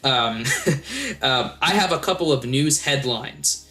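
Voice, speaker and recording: Bright-voiced male podcast host. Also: mid-range voice, expressive, slow. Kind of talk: casual interview.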